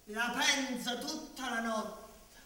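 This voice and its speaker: Very high-pitched southern Italian servant. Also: male voice, slow pace, bright, forceful tone, full character voice. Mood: weary, musing. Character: weary, earthy, dreamy commedia servant grumbling about fortune. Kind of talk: commedia dell'arte stage acting. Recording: very echoey room, distant microphone.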